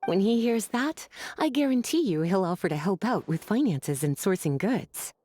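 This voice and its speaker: A woman's voice: medium pitch, expressive, moderate pace, a little mellow, slight background noise, lightly acted. A woman playing a teasing, persuasive scholar with a coaxing, playful manner in an anime game.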